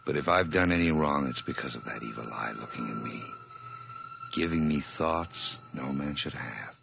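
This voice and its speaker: An actor playing a mad, nervous murderer confessing in an old radio horror drama, theatrical and intense with whispers and outbursts. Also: male voice, rumbling low, moderate pace, gravelly, music in the background. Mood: cold, brooding.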